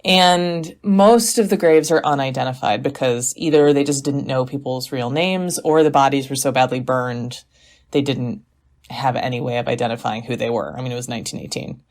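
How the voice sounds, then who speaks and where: A woman's voice: very deep voice, moderate pace, a little mellow. A woman playing a skeptical, dryly exasperated podcaster, telling tales in casual, matter-of-fact banter. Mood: dry, grisly relish. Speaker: podcast co-host. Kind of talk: casual scripted storytelling banter.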